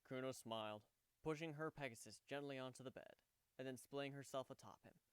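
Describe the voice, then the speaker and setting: Smooth male story narrator, dry sound. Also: medium pitch, fairly quick, no room sound. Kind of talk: dramatic scripted narration of fan fiction.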